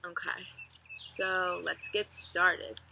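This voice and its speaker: A woman's voice: lowish voice, level tone, drawn-out words, gravelly, pushed and bright, poor audio. A woman speaking as a teacher to children during a read-aloud, outdoors, addressing them directly and expressively. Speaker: teacher reading aloud to students. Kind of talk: children's book read-aloud with explanatory asides. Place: outdoors among trees. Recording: outdoor ambient noise, faint birdsong. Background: birds and bugs.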